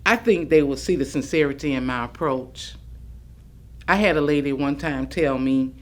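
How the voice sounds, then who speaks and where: A woman's voice: a bass voice, moderate pace. A woman performing a staged monologue as a plucky, persuasive older woman, telling her story in a folksy, emphatic way. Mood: earnest, firm, then wry, spirited. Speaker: stage actress performing oral history. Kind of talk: dramatic monologue, staged reading.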